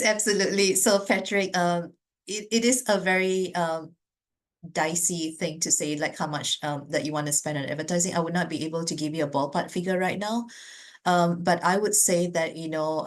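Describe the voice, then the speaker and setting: Low-voiced Malaysian woman advising owners. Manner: earnest, many fillers and restarts. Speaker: business advisor. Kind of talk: answering questions in a webinar.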